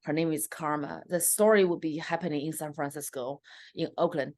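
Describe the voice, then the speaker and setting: A woman's voice: low-pitched, steady tone, fairly quick, a little mellow, no room sound. A woman in her thirties from China, speaking with a Chinese accent, telling her story as a comedian guest in an interview with many fillers.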